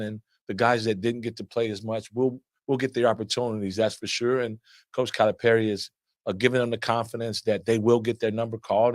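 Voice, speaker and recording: A man coaching basketball fields reporters' questions with a loud voice set a little low. He often restarts a phrase several times before pushing through to the point. The sound is dry and close, with no room around it. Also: no room sound. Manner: repeats words often while building answers, steady and earnest. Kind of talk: press conference answers.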